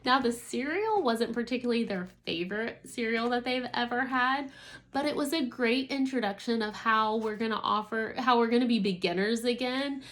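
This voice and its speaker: A woman's voice: fairly high pitch, normal speed, fairly bright tone. A woman, a homeschooling mother, giving an enthusiastic, casual product review in a talking-to-camera style.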